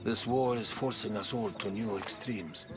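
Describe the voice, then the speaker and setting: A man plays a cryptic storyteller of middle age in a video game, speaking fairly low over music. He is deliberate and grave, as if he knows more than he says. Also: lightly acted. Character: measured, cryptic middle-aged male Greek storyteller. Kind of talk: video game cutscene acting. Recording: music behind the voice.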